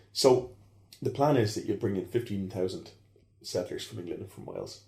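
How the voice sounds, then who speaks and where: Man, rapid rambling delivery, dark mellow tone, echoey room. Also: deep voice, vocal fry. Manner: fast, rambling with many fillers and restarts. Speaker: historian guest. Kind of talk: casual podcast conversation.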